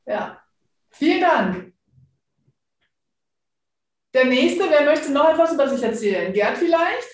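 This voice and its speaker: A woman's voice: sits high, lively intonation, slow pace, long pauses. A woman hosting a podcast, inviting her team to introduce themselves with short, friendly prompts. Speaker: podcast host. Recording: echoey room.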